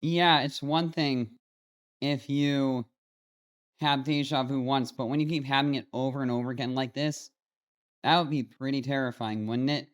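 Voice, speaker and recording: A man's voice: mid-range voice, steady tone, normal speed. An American man hosting a commentary channel, talking casually and directly to his viewers. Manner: informal, addressing viewers directly.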